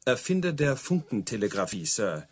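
Casting: dutiful police sergeant type, husky medium-pitched voice, stiffly polite, dry, pedantic, radio drama. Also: male voice, big pitch swings, normal speed, darkish tone. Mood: dry, formal. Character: stiff, deferential, principled male police subordinate. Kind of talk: German radio play dialogue.

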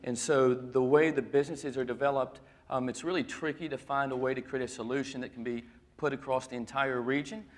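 A man's voice: mid-range voice, steady tone, brisk. A man in his thirties speaking on a conference panel in a fluent, confident, businesslike way, on a lapel mic. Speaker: nonprofit executive director, panelist. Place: indoor conference stage with audience.

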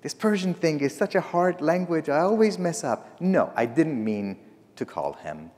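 An eager, flustered young Greek man, as a preacher puts him on. His voice lifts a little higher as he scolds himself. A long church reverb hangs behind him. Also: big pitch swings, moderate pace, darkish tone. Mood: exasperated.

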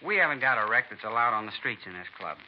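An actor playing an earnest, defensive young American hot rod club member in a 1950s radio drama, talking slangy and insistent. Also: male voice, mid-range voice, animated, fast talker, lightly acted. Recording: dull 1950s radio recording, faint noise.

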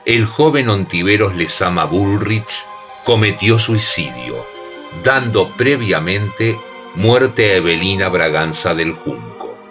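A man narrating a radio drama in a grave, expressive storytelling voice. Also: deep voice. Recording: music bed under voice.

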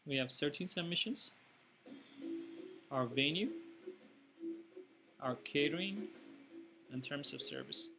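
A man walking through a software demo, hesitant and full of fillers. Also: fairly high pitch, animated, slow, creaky, pauses often, soft background music.

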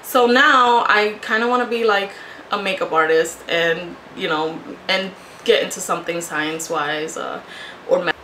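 A woman's voice: on the low side, normal speed, pushed and bright. A woman in her thirties from Puerto Rico, a native Spanish speaker, telling personal stories casually and candidly to the camera. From Puerto Rico, moved to Connecticut as a child. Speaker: video blogger. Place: small home room, indoors. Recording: echoey room, faint background noise.

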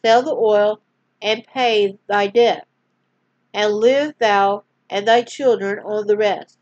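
A woman's voice: medium pitch, fairly even intonation, slow, darkish tone, lightly acted. A woman voicing a kind, solemn old male prophet who speaks in archaic words. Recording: dull lo-fi dry recording.

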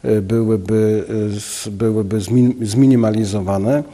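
Slow, halting man, low mellow voice. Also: fairly even intonation. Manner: halting, many filler sounds. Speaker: local official. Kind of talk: news interview. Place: office, indoors. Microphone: handheld station mic.

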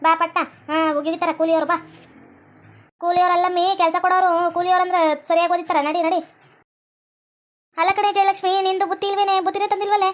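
A woman's voice: very high voice, little pitch movement, fast, long pauses. A woman playing chatty, bickering village farm labourers in a cartoon skit, garrulous and colloquial, with a North Karnataka accent.

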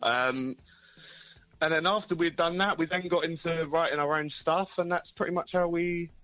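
A man being interviewed about his band, relaxed and hesitant, with many fillers. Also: fairly high pitch, moderate pace, low-quality recording. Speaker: band member, interview guest. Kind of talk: casual interview. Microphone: phone line.

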